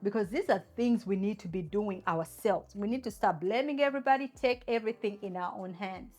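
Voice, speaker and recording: A woman's voice: mid-range voice, brisk, darkish tone, faint music behind. A woman from Uganda hosts her own channel and speaks straight to camera, making emphatic points and asking many rhetorical questions. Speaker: channel host. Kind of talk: opinion talk to camera.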